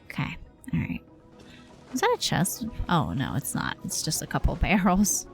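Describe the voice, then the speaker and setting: A woman's voice: sits low, wildly swooping pitch, normal speed, creaky, soft background music. A woman livestreaming a game with casual, slangy, sweary reaction commentary in a playful, irreverent manner.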